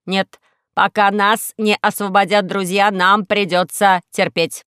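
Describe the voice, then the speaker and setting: A woman voicing a gloomy, melodramatic male former combat robot in a slow, mournful, drawn-out manner for a children's story. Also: mid-range voice, flat delivery, a bit bright, clean tone, no room sound, clean studio sound, natural voice. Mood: gloomy, resigned.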